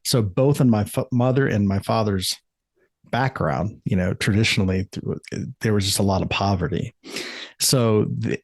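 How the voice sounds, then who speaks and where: A man's voice: lowish voice, normal speed, no room sound. A middle-aged man from the United States, an author and life coach, answering interview questions in a thoughtful, earnest way with frequent restarts.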